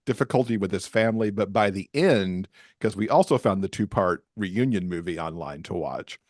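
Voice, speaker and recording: Chatty man, low-pitched, close dry sound. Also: expressive, moderate pace, dry recording. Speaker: podcast guest, author. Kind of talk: casual conversational interview.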